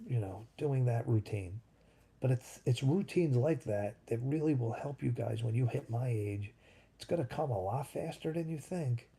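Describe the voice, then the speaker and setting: A man's voice: mid-range voice, dark tone, husky. A man in his fifties hosting a live stream, chatting casually and rambling as he answers viewers' comments. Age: 50s. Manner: rambling, thinks aloud, answers chat comments. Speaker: livestream host.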